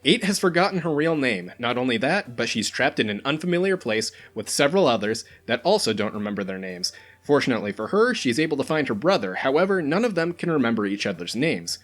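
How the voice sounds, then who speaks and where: Man narrating a countdown with lively pitch. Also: fast. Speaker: host of a video game recommendation countdown. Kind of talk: scripted narration of a top list.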